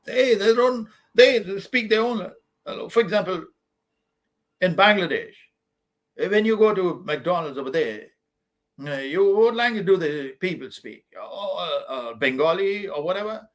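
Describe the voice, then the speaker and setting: A man's voice: high-pitched, expressive, unhurried. A man acting as a study-abroad advisor, answering student questions in an informal, emphatic way, repeating points and calling listeners "man". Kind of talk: informal Q&A advice talk.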